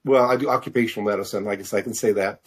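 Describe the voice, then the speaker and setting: Older American man, halting and rambling. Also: on the low side, steady tone. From Missouri, United States. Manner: halting, self-correcting, rambling. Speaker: guest, fiction author and physician. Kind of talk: casual interview conversation.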